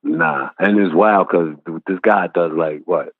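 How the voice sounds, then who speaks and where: Deep-voiced New rapper on a phone line. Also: male voice, measured pace, no echo. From Brooklyn, New York. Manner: laid-back slangy storytelling. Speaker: rapper guest. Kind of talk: casual interview chat.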